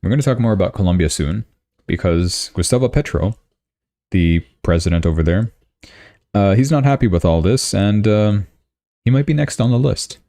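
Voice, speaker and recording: A young man from Canada hosts a casual live news stream, thinking aloud and correcting himself as he goes. His voice sits very low, dark and mellow, and the sound is dry and close. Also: expressive, moderate pace, dry recording.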